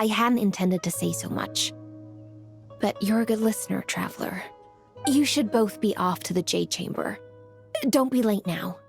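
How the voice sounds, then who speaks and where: A woman's voice: mid-range voice, gravelly. A woman playing a proud, sceptical young noble official in a video game, speaking formally and assertively. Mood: composed.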